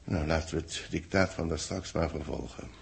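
An actor playing a grave, authoritative boss with a husky voice and solemn dramatic phrasing, in 1960s radio drama style. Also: male voice, rumbling low, moderate pace, heavy background noise, full character voice. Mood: troubled, grave.

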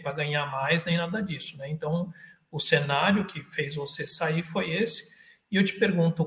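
Co-host: a man with a slightly high voice who asks long questions, roomy echo, faint hiss. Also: a bit bright. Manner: friendly, long winding questions. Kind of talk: live stream chat. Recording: echoey room with faint noise.

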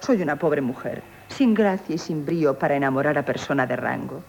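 An actress with a Castilian Spanish accent playing a witty, proud young innkeeper in a theatrical radio comedy, teasing and emphatic. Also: female voice, sits low, brisk, creaky, a little mellow. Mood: coolly provoking. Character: witty, coquettish, proud young female innkeeper, mock-humble. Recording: hissy 1970s radio broadcast.

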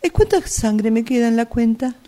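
A woman with an Argentine accent playing an earnest, stubborn, absurd customer in an amateur radio play, speaking haltingly. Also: on the high side, lively intonation, dark tone, lightly acted. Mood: indignant. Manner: hesitant, halting delivery.

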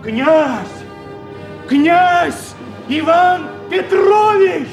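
A frightened merchant pleads in an agitated, imploring way in a radio drama. The voice is high and swings widely, with a little music behind. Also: male voice, lively intonation, very slow, full character voice. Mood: panicked. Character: frightened, pleading merchant bringing bad news. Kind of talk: theatrical radio play acting.

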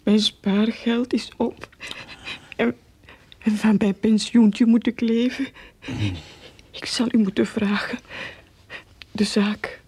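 A woman playing an anxious, naive old widowed mother in a radio play, breathy, hesitant and stammering. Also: on the high side, flat delivery, slow pace, pauses often, darkish tone. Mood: meek, grateful.

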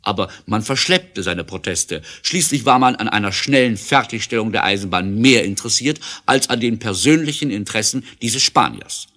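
A man's voice: lowish voice, animated, moderate pace, hardly any pauses, pushed and bright. A man narrating a 1970s German adventure audio drama as a matter-of-fact storyteller.

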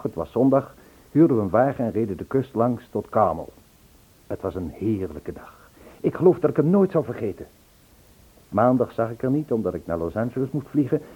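A man's voice: medium pitch, husky. Suave romantic man, tinny radio sound. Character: charming, impulsive man telling of his whirlwind romance. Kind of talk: Dutch radio play, narration and dialogue. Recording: tinny, band-limited old radio recording.

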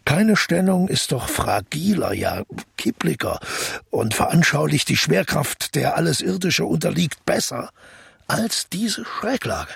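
An actor playing an eccentric, zealous intellectual who lectures emphatically in rhetorical questions in an audio drama. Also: male voice, on the high side, sing-song, a little slow, gravelly, full character voice. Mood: absorbed, pondering. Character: eccentric male intellectual, zealous expert explaining an artwork. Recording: music playing behind the voice.

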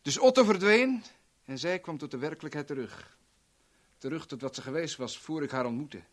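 A man's voice: on the high side, animated, normal speed. An actor playing a sadistic, taunting villain in a 1970s radio drama, theatrical and sneering as he savours his words. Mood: gloating, taunting. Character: sadistic, taunting male villain gloating as a blackmailer.

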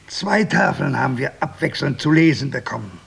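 An old man, the last survivor, in a radio drama. His voice is very gravelly and husky. He declaims slowly and insistently, with solemn weight. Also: medium pitch, lively intonation, fairly bright tone, exaggerated voice. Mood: portentous, brooding. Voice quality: raspy, gravelly.

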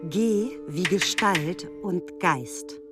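Slow, low-pitched female narrator. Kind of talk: scripted alphabet-entry reading. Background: music.

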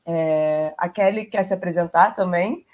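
A woman from Brazil presenting her network on a video call. She speaks slowly and carefully so that others can follow. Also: lowish voice, darkish tone. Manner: deliberately slow and careful. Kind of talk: presenting in a virtual meeting.

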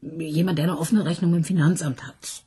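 Sceptical commissioner, low, very husky voice. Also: female voice, fairly even intonation, a little fast, dark tone. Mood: reasoning. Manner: probing, sceptical questioning. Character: shrewd female police commissioner. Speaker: police detective. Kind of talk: radio play acting.

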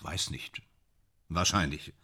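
A man's voice: sits low, fairly even intonation, takes it slowly, sharp tone, heavily acted. A man in a radio play acting a vain, tormented stage actor, bitter and self-dramatising, with a theatrical, declamatory manner that swings between muttering and outbursts. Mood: dejected.

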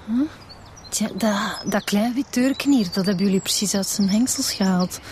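A woman's voice: medium pitch, normal speed, darkish tone, slight background noise, lightly acted. A casually inquisitive Flemish female detective in a radio drama, played by an actress. She sounds like she is making small talk while probing. Faint outdoor ambience with birds sits behind her. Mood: curious.

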